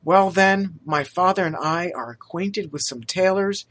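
Man reading a polite young gentleman who stammers, slightly raised and bright. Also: high voice, unhurried, voice pushed up. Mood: friendly. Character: earnest, apologetic young gentleman, the son.

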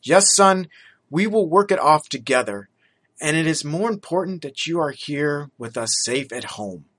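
A man voicing a loving, worried middle-aged father in a storybook reading, loud and reassuring. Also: a little high, animated, moderate pace.

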